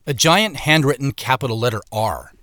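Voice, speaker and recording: An actor playing the uneasy first-person narrator of a mystery audio drama, telling it in measured, suspenseful phrases. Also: male voice, mid-range voice, animated, bright, forceful tone.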